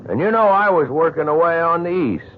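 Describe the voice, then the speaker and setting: An actor playing an earnest, plain-spoken young lumberjack with a rural American accent in an old-time radio drama. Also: male voice, on the high side, lively intonation, a little fast, lightly acted. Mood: suspicious, determined. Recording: tinny, hissy old radio recording.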